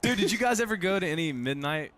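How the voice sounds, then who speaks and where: Male, 25, lighter pitch, lively delivery, slangy enthusiastic casual conversation. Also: fast. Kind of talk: casual chat.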